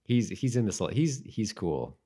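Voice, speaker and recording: A man's voice: lowish voice, big pitch swings, a little slow, warm tone. A man co-hosting a casual podcast chat, often trailing off and rephrasing mid-thought. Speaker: podcast co-host.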